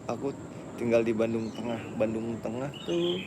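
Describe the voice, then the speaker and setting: A man's voice: medium pitch, normal speed. Live streamer: young man, casual chat style, recorded outdoors with noticeable ambient noise. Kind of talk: casual chat, reading live comments aloud. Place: outdoors at a busy tennis court. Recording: noisy outdoor spot, traffic-like background.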